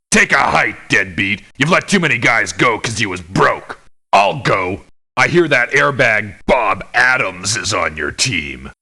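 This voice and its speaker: An actor voicing a gruff, blunt, contemptuous mercenary with a rough, raspy voice in a video game. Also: male voice, mid-range voice, sharp tone, exaggerated voice. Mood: scornful. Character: gruff, hard-bitten, threatening male mercenary. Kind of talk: video game character voice lines. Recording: lo-fi game voice clips.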